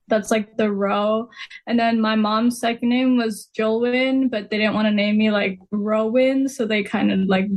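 A young woman from Kenya, a student guest, talking casually and rambling as she thinks aloud, with lots of "like". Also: on the high side. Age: young adult. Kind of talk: casual conversation.

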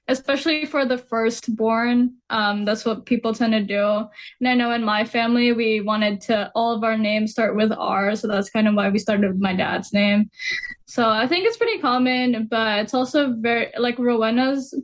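Rambling young woman, lots of "like". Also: on the high side. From Kenya. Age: young adult. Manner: rambling, heavy use of "like", thinking aloud. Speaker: student guest. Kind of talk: casual conversation.

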